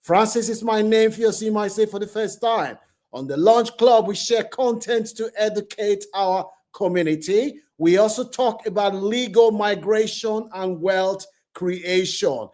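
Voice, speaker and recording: A man's voice: high-pitched, measured pace, darkish tone. A man hosting an online channel, telling a story in an emphatic way and repeating phrases for effect. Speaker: YouTube channel host. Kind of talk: storytelling commentary to camera.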